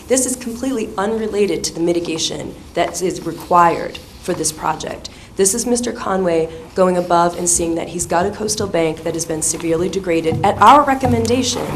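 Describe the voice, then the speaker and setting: A hoarse, sick woman presenting a restoration plan at a public hearing, earnest and persuasive. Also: on the low side, slight background noise. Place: town hall meeting room. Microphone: shared table microphone.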